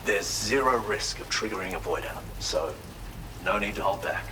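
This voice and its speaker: Video game role: male actor as eccentric self-taught healer, theatrical and formal, very lively pitch, clear rain-like noise behind. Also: normal speed, natural voice. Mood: confident, enthusiastic. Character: eccentric, courteous male tar therapist, enthusiastic self-taught researcher. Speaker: non-player character in a video game. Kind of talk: expressive video game character dialogue.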